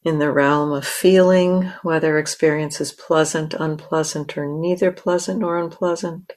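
A woman meditation teacher leading a guided meditation in short, measured phrases with long pauses. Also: low-pitched, steady tone, darkish tone.

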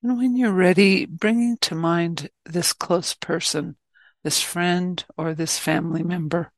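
A woman leading a guided loving-kindness meditation as a teacher, speaking gently and in measured phrases with long pauses. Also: on the low side, steady tone, no room sound.

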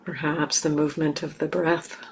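Woman, guided meditation, low pitch, flat intonation, halting short phrases, gentle, noisy room ambience. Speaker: meditation teacher. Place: meditation center hall. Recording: clear background noise, room ambience.

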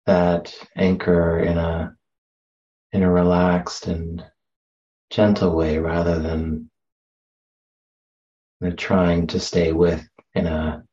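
A man leading a guided meditation as a teacher, speaking gently and unhurriedly with long pauses, on a slightly muffled recording. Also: low-pitched. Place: meditation center.

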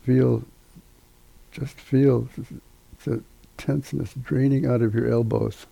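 Meditation teacher, older male, very dark mellow tone, husky, halting delivery with long pauses. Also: medium pitch, steady tone, no room sound, rough recording. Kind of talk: guided meditation instruction.

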